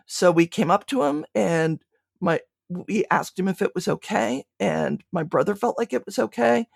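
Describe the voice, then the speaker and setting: A woman's voice: medium pitch, lively intonation, normal speed, gravelly, breathy edge, no echo, clean studio sound. A woman being interviewed, speaking reflectively and conversationally, often restarting her phrases mid-thought. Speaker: podcast creator being interviewed.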